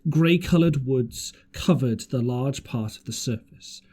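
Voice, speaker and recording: A man's voice: mid-range voice, measured pace, warm tone. A man reading an adventure novel aloud as an amateur audiobook narrator, occasionally stumbling over words.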